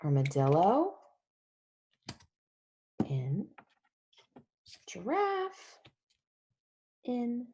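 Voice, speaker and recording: A woman's voice: fairly high pitch, wildly swooping pitch, drawn-out words, pauses often, mellow, a little hiss or hum. A woman leading a picture-book read-along for young children, talking in an exaggerated, sing-song way and drawing out key words.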